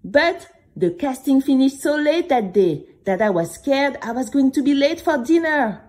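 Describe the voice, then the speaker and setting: A woman's voice: high-pitched, normal speed. A woman playing a chatty, dramatic young girl who tells her own story straight to listeners in an audio drama for children. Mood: confiding, anxious. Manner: expressive, confiding, exclamatory, addressing listeners directly. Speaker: narrator and main character.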